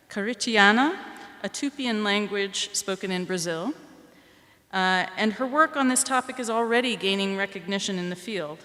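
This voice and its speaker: A woman presenting awards at a ceremony, reading a mentor's statement aloud in a measured, formal way. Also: mid-range voice, a bit bright. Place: library ceremony hall. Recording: long hall reverb.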